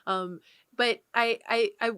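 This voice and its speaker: A woman's voice: fairly high pitch, fairly even intonation, slow pace, a bit bright, clean tone. A woman cohosting a podcast, explaining ideas in earnest with many restarts and repeated words. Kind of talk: casual chat.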